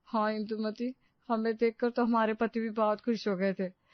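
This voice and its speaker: Lady playing approving village auntie in a cartoon, highish voice, dull dry audio. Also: flat delivery, normal speed, no room sound, natural voice. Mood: pleased. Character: agreeable, flattered village neighbour woman. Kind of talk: cartoon moral-story dialogue.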